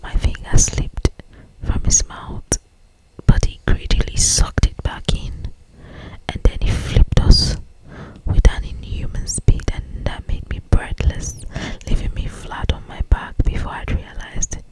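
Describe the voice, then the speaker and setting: An ASMR host reads a romance novel in a constant whisper. The delivery is very slow and breathy, with drawn-out phrases and an intimate, close manner. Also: rough recording.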